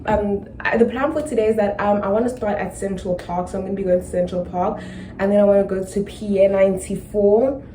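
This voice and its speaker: A young South African woman chatting to her camera in a rambling, casual way, tired and low on energy. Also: steady tone, brisk, mellow, slight background noise, poor audio. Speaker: vlogger. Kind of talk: casual vlog chat to camera. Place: indoors, then out in the city streets.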